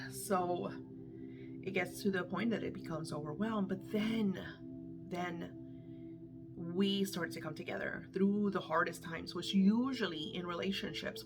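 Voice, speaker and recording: A middle-aged woman coaching her viewers in casual, candid talk, emphatic and swearing freely, her voice a little worn as if she is losing it. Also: on the low side. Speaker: manifestation coach.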